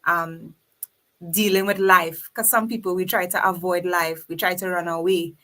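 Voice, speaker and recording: A woman's voice: lowish voice, steady tone, moderate pace, pushed and bright. A woman from Trinidad with a Trinidadian accent, speaking as a guest in an earnest, reflective podcast conversation. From Trinidad and Tobago. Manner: earnest, reflective, faith-filled.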